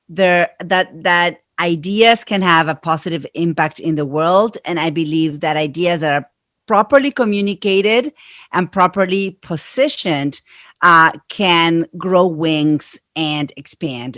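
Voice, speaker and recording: A woman's voice: lowish voice, a bit bright, dry recording, studio quality. A middle-aged woman from Argentina, with an Argentine accent, explaining her approach earnestly as a podcast guest. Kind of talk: interview answers.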